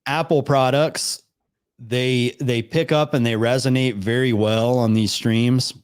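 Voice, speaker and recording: A man's voice: mid-range voice, fairly even intonation, a little slow, clean tone. Man, livestream host, casual rambling chat, studio dynamic mic. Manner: loose, rambling, many restarts. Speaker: livestream call-in show host. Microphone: studio dynamic microphone.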